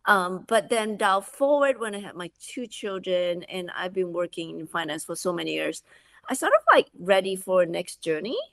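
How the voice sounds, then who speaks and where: Middle-aged woman from malaysia, lively chatty guest, bit of creak in the voice, dry close sound. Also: on the low side, moderate pace, no room sound. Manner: animated, with frequent restarts and "you know". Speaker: guest, food subscription founder. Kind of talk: conversational interview.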